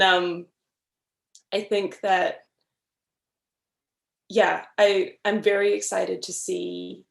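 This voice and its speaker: A woman's voice: lowish voice, creaky. A woman chatting casually as a guest, hesitant and often repeating her phrases. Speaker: guest speaker. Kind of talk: casual chat. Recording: very roomy, echoey sound.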